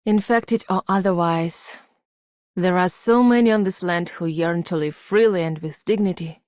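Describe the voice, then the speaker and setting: A woman's voice: lowish voice, moderate pace, darkish tone, no echo, studio quality, close to an everyday voice. A woman voicing a compassionate, steadfast idealist in a scripted video game line. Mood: solemn, hopeful.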